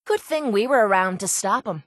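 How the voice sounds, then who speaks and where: A woman's voice: mid-range voice, expressive, moderate pace, no room sound, clean studio sound, close to an everyday voice. A woman playing a tough, cocky young brawler in a video game, with an Irish accent and a blunt, sardonic manner. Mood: blunt, dry. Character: tough, brash, foul-mouthed young woman brawler and mercenary. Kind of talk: video game companion dialogue.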